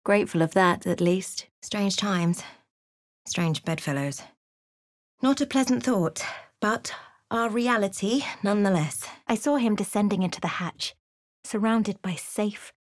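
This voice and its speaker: A woman playing a wry, watchful female adventurer in a fantasy video game, with measured, dramatic storytelling delivery. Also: medium pitch, no room sound, clean studio sound, close to an everyday voice. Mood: wry, dry. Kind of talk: scripted fantasy game narration and dialogue.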